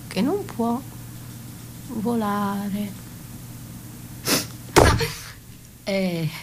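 An actress playing a battered, dying woman in a poetic radio monologue, halting and stammering, repeating words and dropping into whispers. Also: on the low side, a little mellow, a little hiss or hum, poor audio. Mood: numb, fragile. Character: battered, dying woman left in rubbish, dazed, poetic, darkly ironic. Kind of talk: theatrical monologue performed for radio.

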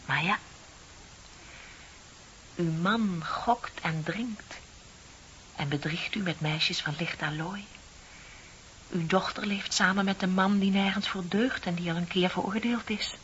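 Bitter, sardonic office worker, muffled hissy recording. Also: female voice, lowish voice, takes it slowly, long pauses, a bit bright. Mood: confessing, needling. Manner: confiding, sardonic, dramatically emphatic. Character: bitter, lonely middle-aged female office worker, needling a colleague. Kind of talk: Dutch radio play acting. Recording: dull, hissy old radio recording.

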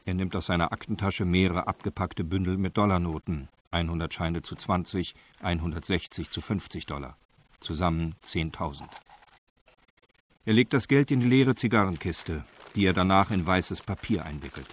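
An actor narrating a radio crime drama in a sober, factual, detached documentary style. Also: male voice, deep voice, moderate pace.

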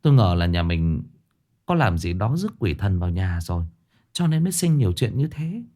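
Guy doing scared old village wife, stammers, talks fast, voice jumps all over. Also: low voice, very animated, dark tone. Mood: fearful. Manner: stammering, hurried and fearful. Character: frightened older village wife, anxious.

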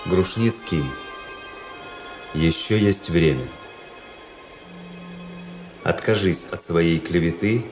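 An actor playing a proud officer who somberly recounts his duel, in measured, literary radio-drama narration. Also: low voice. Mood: grave appeal. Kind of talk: first-person dramatic narration in a radio play.

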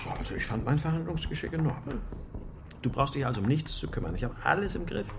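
An actor playing a gruff, stubborn older uncle with a curt, self-righteous manner in a German radio drama. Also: male voice, on the high side, lively intonation, fast talker, background noise. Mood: smug, indignant.

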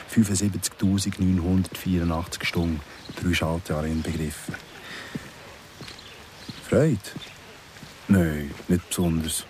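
A man's voice: low voice, a little mellow, a little hiss or hum, close to an everyday voice. An actor playing a bitter paroled convict in his thirties in a Swiss German radio drama, speaking with sardonic, brooding deliberation. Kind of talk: first-person radio drama monologue.

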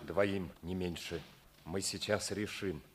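An actor playing a grave Soviet lieutenant colonel who gives measured orders in a 1950s radio play. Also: male voice, deep voice, fairly even intonation, slight background noise. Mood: subdued, grave. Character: grave Soviet army lieutenant colonel commanding a besieged fortress. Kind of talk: 1950s Soviet radio stage drama acting.

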